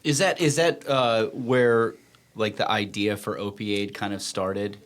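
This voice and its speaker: Lowish-voiced man, casual podcast host. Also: fairly even intonation, unhurried. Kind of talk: casual conversational interviewing.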